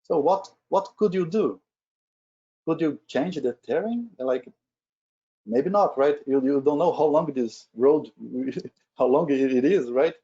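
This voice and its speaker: A man's voice: a little high, slow, mellow, husky. A middle-aged man from Brazil with a Brazilian accent, a native Portuguese speaker, presenting informally at a webinar and often checking in with "right?". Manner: conversational, frequently tags statements with "right?". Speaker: webinar speaker, agile leader at a retail company. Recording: dull lo-fi webinar audio.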